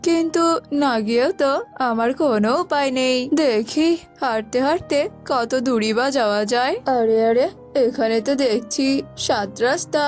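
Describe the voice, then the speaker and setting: A woman's voice: a little high, a little mellow, natural voice. A woman voicing a timid, anxious small bird in a cartoon, with a worried, fretful manner. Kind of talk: cartoon voice acting.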